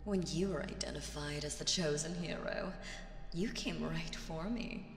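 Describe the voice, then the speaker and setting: A woman playing a seductive, imperious demon queen in an audio roleplay, teasing and condescending, with drawn-out phrasing and breathy asides. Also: low-pitched. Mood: possessive, wistful. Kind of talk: slow roleplay audio drama monologue with whispers. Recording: echoey reverberant hall with music behind.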